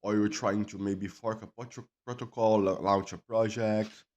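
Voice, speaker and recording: A man's voice: on the low side, fairly even intonation, normal speed, darkish tone, highs cut off. A man interviewing a guest, asking friendly, informal questions with small slips of grammar. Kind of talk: casual interview.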